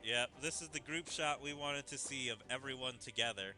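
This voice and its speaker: A man's voice: medium pitch, normal speed, pushed and bright, soft background music. A man giving casual gaming commentary, thinking aloud in a musing way as he follows a story. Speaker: gaming video creator.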